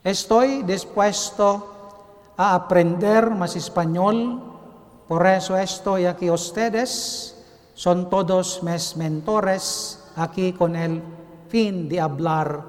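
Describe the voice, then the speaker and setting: A Filipino man, a priest, giving a homily in careful, halting Spanish that is not his first language. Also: high voice, in a large hall. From Philippines. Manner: careful, halting non-native phrasing. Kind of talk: homily delivered in learner's Spanish. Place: cathedral.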